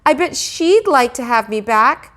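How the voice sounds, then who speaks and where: A woman's voice: sits high, expressive, a little slow, a bit bright, pitched above the performer's own voice. A woman voicing an aggrieved fourth-grade boy in a storybook, sulky and complaining with indignation. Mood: sulky, hurt.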